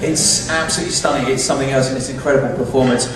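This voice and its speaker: A man's voice: mid-range voice, steady tone, very fast. A man hosting a live panel Q&A, putting questions in an effusive way. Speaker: Q&A host. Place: large indoor cinema auditorium. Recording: echoey cinema hall, distant, noisy.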